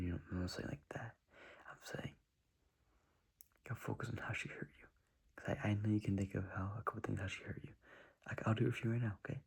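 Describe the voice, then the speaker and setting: Whispering young man, raspy and flat. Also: fairly low pitch. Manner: earnest, repetitive, rambling. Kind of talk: whispered advice monologue.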